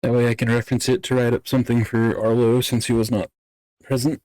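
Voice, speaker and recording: A man plays a considerate young courtier in an improvised game roleplay. His voice is soft-edged and relaxed, recorded close and dry. He talks casually, as if gently checking in on a friend. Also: medium pitch, quick pace, dry recording, natural voice, low-quality recording. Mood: easygoing, considerate. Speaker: player in a Minecraft roleplay.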